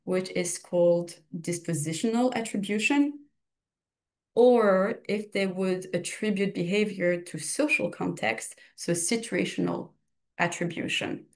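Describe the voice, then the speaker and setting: A young woman with a slight Belgian French accent, giving a casual exam-prep lecture full of personal examples and self-corrections. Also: mid-range voice. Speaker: exam tutor. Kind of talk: recorded study lecture.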